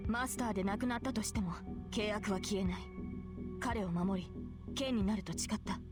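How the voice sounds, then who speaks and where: A woman voices a proud young female knight from a Japanese visual novel. She speaks quickly, earnest, formal and resolute, in a medium-pitched voice over loud music. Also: close to an everyday voice. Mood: resolute, pleading. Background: loud game music.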